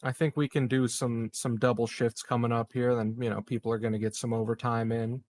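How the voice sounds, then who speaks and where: A man playing a tabletop game, casually proposing strategy in measured, somewhat hesitant phrases. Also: mid-range voice, little pitch movement, darkish tone, no room sound. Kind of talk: casual game strategy discussion, half in role.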